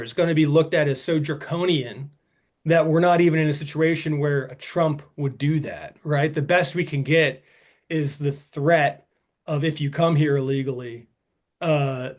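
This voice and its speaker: Man, fairly high voice, podcast co-host, halting, restarts sentences, casual political talk. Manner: halting, restarts sentences, loses his train of thought.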